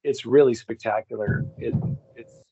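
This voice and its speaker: A man's voice: mid-range voice, steady tone, normal speed, gravelly, warm tone, slight background noise. A man speaking as a guest on a video call, explaining things in a casual, conversational way. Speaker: podcast guest, expedition organizer. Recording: slightly dull lo-fi call audio.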